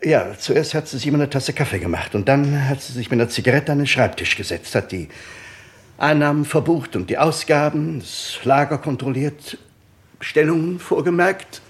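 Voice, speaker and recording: Actor as anxious, halting husband. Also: male voice, fairly high pitch, lightly acted. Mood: nervous explaining. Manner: halting, stammering, defensive. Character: anxious, evasive husband, a small-town supermarket owner. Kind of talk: radio crime play acting.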